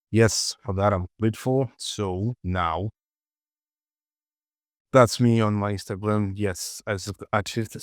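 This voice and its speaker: A man with a very deep voice talks through a casual screen tutorial. He speaks slowly in short, informal phrases and often repeats himself. The sound is dry and close. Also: clean tone, no echo. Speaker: 3D modelling tutor.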